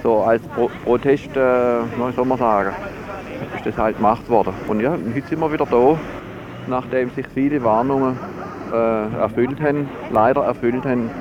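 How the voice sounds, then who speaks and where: Hesitant male spokesman outdoors, noisy traffic. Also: medium pitch. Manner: hesitant, with many filler sounds. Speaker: environmental group spokesman. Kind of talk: interview at a protest. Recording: dull field recording with loud traffic.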